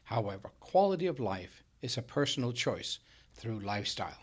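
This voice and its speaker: A man's voice: medium pitch, very animated, normal speed, husky. Middle-aged Danish-accented man presenting. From Denmark. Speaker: business owner and presenter. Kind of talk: scripted sales presentation.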